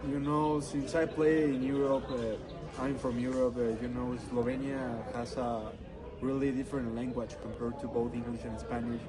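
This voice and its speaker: A man's voice: medium pitch, level tone, moderate pace, over music, poor audio. A man answering interview questions conversationally, with hesitant, non-native English phrasing. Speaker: interview guest. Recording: busy outdoor crowd noise.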